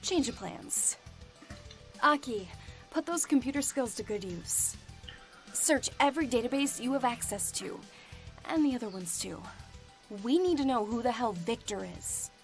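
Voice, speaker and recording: An actress playing a stern, weary team boss in a visual novel game. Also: female voice, on the high side, expressive, measured pace, pauses often, faint music behind. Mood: commanding.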